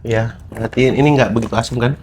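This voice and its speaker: A man's voice: mid-range voice, steady tone, fast talker, darkish tone, a little hiss or hum. A young man from Indonesia hosting his channel, chatting casually and rambling with many fillers while snacking. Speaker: vlog host. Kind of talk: casual chat.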